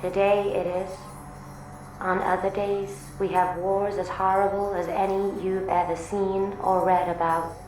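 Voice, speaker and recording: A woman playing a serene, detached alien zoo guide in a radio drama, speaking in a measured, deadpan, unemotional way over music. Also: mid-range voice, lightly acted. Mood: detached calm. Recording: echoing voice over prominent music.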